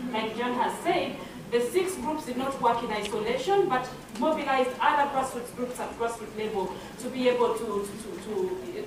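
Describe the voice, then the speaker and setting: Ugandan woman panelist, higher voice, hesitant with fillers, distant mic. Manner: hesitant, many fillers and repeated words. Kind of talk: panel presentation. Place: conference room. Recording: echoey hall, distant mic.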